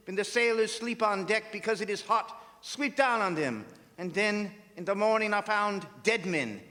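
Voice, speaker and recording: An actor playing a grave, urgent professor who hunts vampires, speaking emphatically and portentously in radio drama style. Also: male voice, high-pitched, pushed and bright. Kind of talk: theatrical radio drama acting. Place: performing arts center stage. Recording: echoey theatre hall with music.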